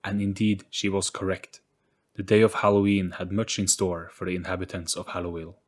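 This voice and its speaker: A man reading a scripted spooky storybook in a deliberate, theatrical storytelling manner. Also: deep voice, little pitch movement. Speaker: narrator. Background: music.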